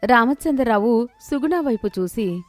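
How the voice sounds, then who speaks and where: A woman's voice: fairly high pitch, expressive, moderate pace, no echo, faint music behind. A woman reading scripted narration for a moral story as its storyteller.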